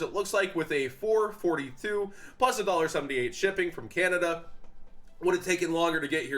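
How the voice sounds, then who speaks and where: Chatty young man, slightly high voice. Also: a bit bright. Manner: chatty, frequent fillers and restarts. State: congested, sniffling. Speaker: card market commentator. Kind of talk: casual commentary.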